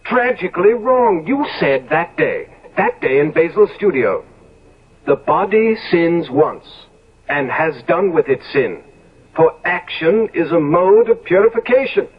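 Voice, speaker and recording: On a dull vintage radio recording, a youthful gentleman corrupted to the core. He speaks slowly and deliberately, with sardonic bitterness and theatrical swings in pitch, a killer in an old radio melodrama. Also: big pitch swings, slightly rough, heavily acted. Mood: bitter accusing. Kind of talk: 1970s radio drama acting.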